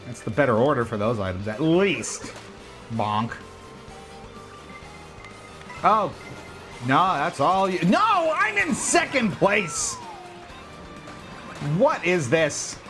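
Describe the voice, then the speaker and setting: A man giving casual, joking commentary while he plays a racing game on a livestream, reacting out loud to every turn. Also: fairly high pitch, big pitch swings, slow, long pauses, faint music behind. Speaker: livestream gamer.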